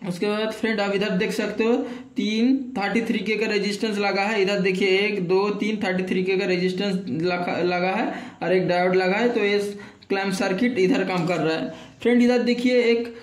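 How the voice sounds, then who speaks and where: A man with a fairly high voice explains a repair job at his workbench, halting now and then with fillers as he points things out. He speaks straight to the viewers like a friend. The room gives back a clear echo. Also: steady tone. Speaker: electronics repair instructor. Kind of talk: hands-on repair tutorial explaining a circuit. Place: workbench with circuit board and drawings. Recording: echoey room.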